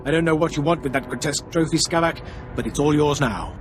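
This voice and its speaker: A man's voice: fairly high pitch, fast, over music, natural voice. A male actor playing a haughty, impatient imperial military commander in a sci-fi video game, with a pompous, imperious delivery. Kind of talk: video game cutscene acting.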